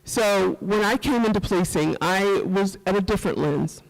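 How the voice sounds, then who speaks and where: A middle-aged woman with a rural Missouri accent gives an earnest, halting personal talk as a speaker at a summit, repeating phrases for emphasis. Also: medium pitch, vocal fry. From rural Missouri, USA. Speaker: panel speaker, former police officer and professor. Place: event hall at a community center. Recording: echoey hall, long reverb.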